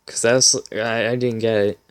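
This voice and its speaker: A man's voice: lowish voice, little pitch movement, normal speed, clean tone. A man reading a story aloud as a channel host, in an amused dramatic style with giggly asides of commentary.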